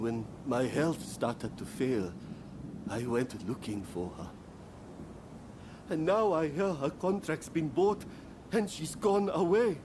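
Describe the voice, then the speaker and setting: A man playing a humble, ailing beggar in a video game, pleading with halting, sorrowful remorse. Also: medium pitch, a little mellow, a little hiss or hum. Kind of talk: dramatic video game dialogue.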